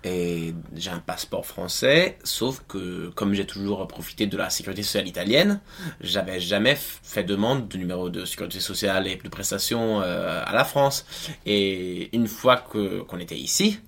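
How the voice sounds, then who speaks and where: Halting, wry man from Italy. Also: low voice, gravelly, a bit bright. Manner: halting, many restarts, wry. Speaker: interview guest. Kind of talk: casual chat.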